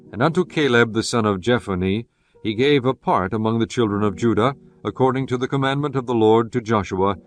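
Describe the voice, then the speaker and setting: Steady male Bible narrator. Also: medium pitch. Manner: measured, steady reading. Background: guitar music.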